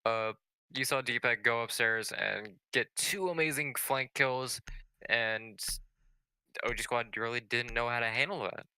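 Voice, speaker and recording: A man giving measured, explanatory analysis as a colour commentator on a live video game match. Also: medium pitch, bright, forceful tone, dry recording. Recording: clearly noisy signal with clicks.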